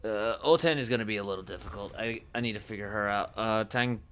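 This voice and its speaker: A man streaming casually, thinking aloud in a musing, hesitant way with pauses mid-thought. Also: fairly low pitch, a bit bright, clean tone.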